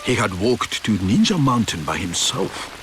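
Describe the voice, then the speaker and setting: A man voicing an ancient ninja master who narrates a game's story in a theatrical storybook manner, wise, fond and wistful, with measured dramatic pauses. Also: fairly low pitch, very animated, background noise. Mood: solemn, reverent. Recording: music behind the voice. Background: music.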